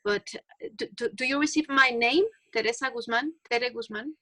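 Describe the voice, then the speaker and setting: Trainee therapist, woman, Mexican accent, hesitant second-language English, bright tone, video call audio. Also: fairly high pitch. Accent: Mexican Spanish. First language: Spanish. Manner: hesitant, halting English with many fillers.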